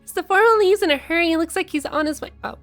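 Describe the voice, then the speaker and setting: A woman's voice: very high voice, quick pace, fairly bright tone, faint music behind. A woman giving casual live reaction commentary as a game streamer.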